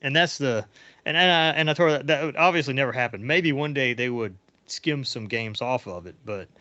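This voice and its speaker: A man's voice: mid-range voice, brisk, bright, forceful tone, no echo. A middle-aged man hosting a casual sports chat podcast, talking in a rambling way that trails off and rephrases. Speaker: podcast host. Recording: dull lo-fi audio.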